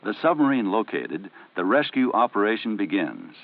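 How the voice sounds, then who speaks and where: A man reading scripted training film narration in a formal, measured, explanatory way, on a 1970 film soundtrack. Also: lowish voice, sing-song, phone-quality sound, very noisy.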